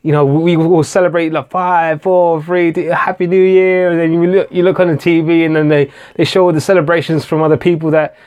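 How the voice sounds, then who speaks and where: A man's voice: a little high, flat delivery, brisk, few pauses, darkish tone, clear, resonant tone, dull audio. A man hosting a casual live-stream Q&A, chatting hesitantly with many fillers and restarts while answering viewer comments. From England. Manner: reads viewer comments aloud and answers them. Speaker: tech channel host.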